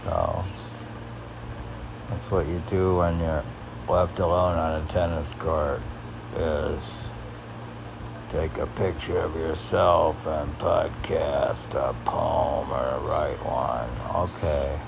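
A man reading his own poetry and adding rambling casual asides in a musing, halting manner. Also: extremely low pitch, little pitch movement, creaky, noisy background.

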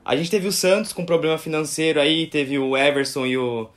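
A man co-hosting a video call, quick and jokey in manner. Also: on the high side, fairly even intonation. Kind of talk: casual video-call interview.

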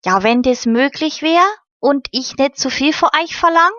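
A woman's voice: sits high, normal speed, pushed and bright, no echo, lo-fi sound, pitched above the performer's own voice. Woman putting on a cheerful girl. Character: cheerful injured young girl, friendly and polite.

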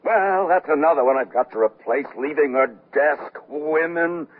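An actor playing an absent-minded, blustery department head in a radio comedy, with a husky voice and halting delivery. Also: male voice, a little high, close to an everyday voice. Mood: blustery, genial. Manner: bumbling, halting. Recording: thin narrow-band radio broadcast.

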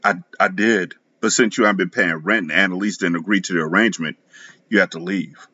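A man voicing a guilty husband in an audiobook, low-key and hesitant, slightly under his normal pitch. The tone is bright and dry with a muffled edge. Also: a little fast, no room sound. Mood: subdued. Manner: subdued, hesitant. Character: guilty hesitant husband, middle-aged lawyer.

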